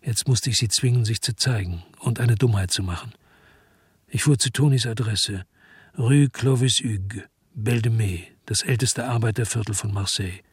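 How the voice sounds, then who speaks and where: A man's voice: fairly low pitch, moderate pace, slightly rough, no room sound, studio quality. A man playing a weary, disgusted hard-boiled investigator, narrating his own story in a brooding, terse way in a German radio drama. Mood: brooding, bitter.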